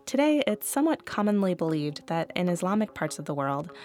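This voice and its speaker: A woman hosting a podcast and reading scripted narration in a clear, even way. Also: on the low side, brisk, faint music behind. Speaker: podcast host.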